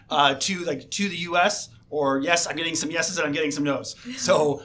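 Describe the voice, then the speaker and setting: A man with a fairly high voice hosts a casual school radio chat. He talks with many fillers and false starts and keeps circling back to finish his thoughts. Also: a bit bright. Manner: halting, many fillers and restarts. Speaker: host, assistant principal.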